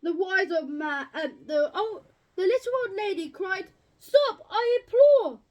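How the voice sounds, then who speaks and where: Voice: very high voice, moderate pace. A child reading a picture book aloud as a story, stumbling and correcting along the way. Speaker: story reader.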